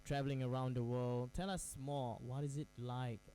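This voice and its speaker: A man's voice: medium pitch, flat delivery, normal speed, dark tone, faint music behind. Chatty male campus radio host. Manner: chatty, laughs often, many fillers and restarts. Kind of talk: casual radio interview.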